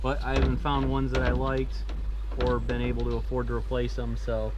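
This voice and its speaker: A man's voice: mid-range voice, monotone, moderate pace, background noise. A man casually narrating a hands-on vehicle project, thinking aloud as he works in an informal way. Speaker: DIY vehicle restoration vlogger.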